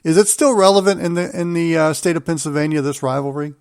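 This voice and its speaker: Higher-voiced middle-aged male podcast host. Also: fast, a little mellow, clear, resonant tone, dry recording, clean studio sound. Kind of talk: hosted sports interview and read segues.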